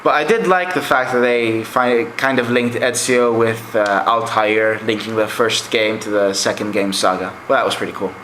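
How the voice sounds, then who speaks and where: A man's voice: fairly low pitch, fairly even intonation, normal speed, few pauses, background noise. A young man whose first language is Greek gives a casual, rambling review of his game collection, with many fillers. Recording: echoey room.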